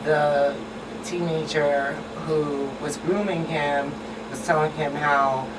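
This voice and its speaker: A woman's voice: low-pitched, fairly even intonation, takes it slowly. A woman co-hosting a radio talk show in casual conversation. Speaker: co-host. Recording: distant pickup, clear hum of room noise.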